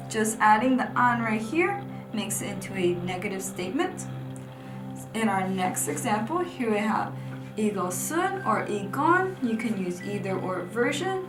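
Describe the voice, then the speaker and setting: A woman's voice: a little high, reverberant, low-quality recording. A young woman teaching a language lesson, patiently explaining examples one by one at a whiteboard. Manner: clear, patient explaining, often pointing at a whiteboard. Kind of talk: scripted lesson narration.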